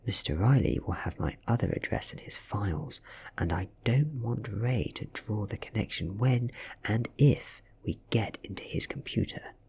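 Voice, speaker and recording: A British man reading a story in a soft ASMR style, half-whispered and breathy, with deliberate dramatic pauses. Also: on the low side, lively intonation. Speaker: audiobook reader.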